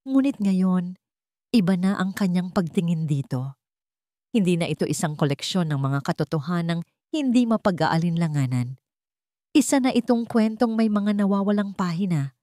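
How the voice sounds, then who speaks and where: A woman with a Filipino accent reads a scripted dramatic story in a measured, deliberate way. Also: fairly low pitch, a little mellow, dry recording, studio quality. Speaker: narrator.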